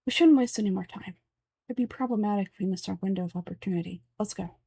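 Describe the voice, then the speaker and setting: A woman voicing a composed, erudite and aloof scholar from a fantasy game, speaking in a formal, measured, deadpan way. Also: medium pitch, breathy edge. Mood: brisk.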